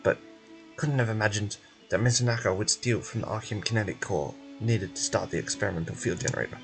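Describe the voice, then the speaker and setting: A man's voice: fairly low pitch, vocal fry, faint music behind, poor audio. Man playing a formal, evasive institute official. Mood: explanatory. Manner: measured, polite, officious. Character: formal, evasive male research institute official in fantasy game.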